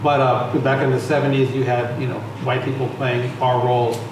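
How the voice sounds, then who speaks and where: A man's voice: medium pitch, little pitch movement, brisk. A middle-aged man speaking as a panel guest, conversational and storytelling, with frequent restarts. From United States. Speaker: panel guest, musician and arts center founder. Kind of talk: panel discussion. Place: lecture room on stage. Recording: very echoey room, distant mic, noisy.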